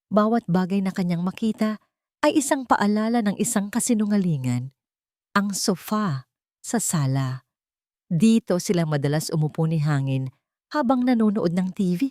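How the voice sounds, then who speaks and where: Female story narrator, low register, dry close sound, measured and solemn delivery. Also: darkish tone, no room sound. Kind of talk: scripted dramatic story narration.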